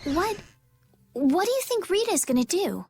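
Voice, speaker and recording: High-voiced gentle princess. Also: female voice, very animated, measured pace, no room sound, full character voice. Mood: earnest, polite. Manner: polite, sincere, hesitant. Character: polite, gentle, determined young princess. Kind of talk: animated RPG video game dub. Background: faint background music.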